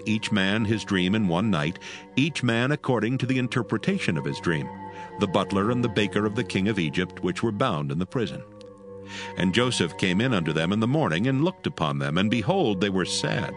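A man narrating scripture in a solemn, formal, measured storytelling style. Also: fairly low pitch, sing-song, over music.

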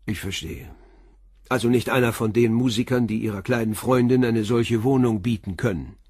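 An actor playing a calm, persistent police commissioner who asks short, probing questions in a radio drama. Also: male voice, medium pitch, normal speed, husky, no room sound, close to an everyday voice.

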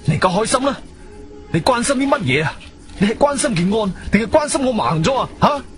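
An actor with a Cantonese accent playing a hot-tempered, freshly blinded adventurer hero in a radio drama, agitated, emphatic and exclamatory. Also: male voice, sits high, very animated, normal speed. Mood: angry. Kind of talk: radio drama acting with first-person narration. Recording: background music under the voice.

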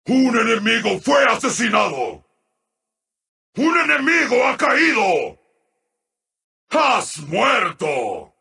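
High, shouting sun-god game announcer. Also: male voice, gravelly, heavily acted. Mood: regretful, reassuring. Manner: theatrical, grandiose, drawn-out exclamations. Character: exalted sun scarab god, booming and triumphant. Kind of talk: video game announcer pack, exclamatory callouts.

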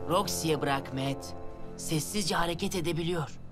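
A woman's voice: sits low, a little slow, music in the background. A woman playing an anxious, earnest young boy guardian in a dubbed children's cartoon, fussy and dramatic. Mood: cautious. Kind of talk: dubbed children's animated adventure.

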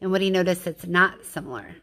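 A woman's voice: fairly low pitch, expressive, fast talker, pushed and bright. A woman reading a children's picture book aloud like a teacher, explaining things with informal asides and questions to her listeners.

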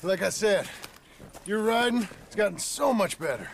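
Creaky-voiced American cowboy father. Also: sits high, animated, moderate pace, slight background noise. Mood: encouraging. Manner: dry, plain-spoken. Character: gruff, protective cowboy father working as ranch hand. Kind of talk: dramatic video game cutscene acting.

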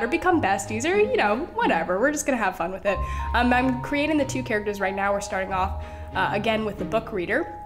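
Chatty young woman, a bit stuffy. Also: a little high. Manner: rambling, frequent "like" and "you know", giggly. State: stuffy, a little sick. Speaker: Sims gameplay creator. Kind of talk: casual chat over gameplay.

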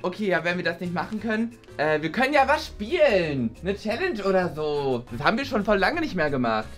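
A man's voice: sits high, big pitch swings, a little fast, over music. A man playing a clueless, cheeky, playful schoolboy in a kids' comedy sketch. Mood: pleading, playful. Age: young adult. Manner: naive, playful. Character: goofy, clueless, cheeky schoolboy, always angling for food. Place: small classroom.

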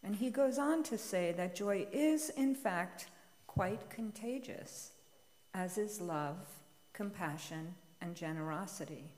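Middle-aged female homilist, mid-pitched, reading from a script at a pulpit microphone, long church reverb. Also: slow, a little mellow. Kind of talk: homily read aloud as a letter. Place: large church sanctuary, at the pulpit. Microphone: gooseneck pulpit microphone.

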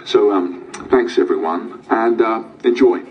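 A rather low actor's male voice addresses delegates as a friendly, fumbling conference host. He sounds away from the microphone, with faint noise around him. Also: measured pace, highs cut off, natural voice. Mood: affable. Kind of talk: informal public announcement. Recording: distant mic, faint room noise.

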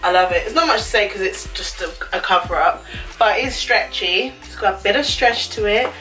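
A woman's voice: medium pitch, a little fast, bright, forceful tone, distant mic, soft background music, rough recording. A woman, chatting casually and gushing through a clothing try-on haul, with slangy asides. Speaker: fashion vlogger. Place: small bedroom indoors. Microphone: camera on a stand a few feet away.

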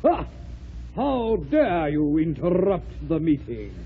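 Tinny old radio sound frames a pompous carving-knife knight. His high voice swoops about as he blusters and stammers, always ready to take offence. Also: very animated, slow, creaky, full character voice. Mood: indignant. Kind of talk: 1940s radio acting.

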